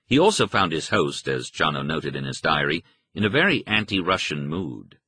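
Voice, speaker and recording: Male history audiobook narrator. Low, bright and forceful, formal scripted read with measured, articulate phrasing. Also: very animated, a little hiss or hum.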